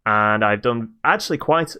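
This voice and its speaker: A man with a very clear, smooth voice talks as a podcast co-host. His pitch sits in the middle, and his casual chat about games is dotted with playful asides. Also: normal speed, bright, forceful tone.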